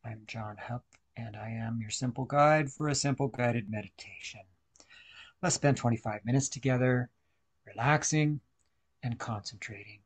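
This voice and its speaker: A man leading a guided meditation, speaking deliberately in short instructional phrases with pauses. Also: medium pitch, breathy edge.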